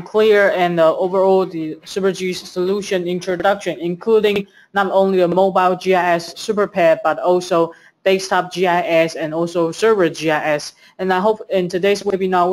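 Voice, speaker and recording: Webinar host reading slides. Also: female voice, lowish voice, darkish tone. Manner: reads lists from slides, some fillers. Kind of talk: presenting slides.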